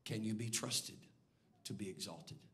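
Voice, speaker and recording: A man preaching a sermon in a church, swinging between low, hushed lines and loud, near-sung calls to the congregation. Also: a little slow. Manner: builds from quiet, low delivery to loud, near-sung shouting. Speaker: bishop preaching. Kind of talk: Pentecostal sermon. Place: church sanctuary before a congregation.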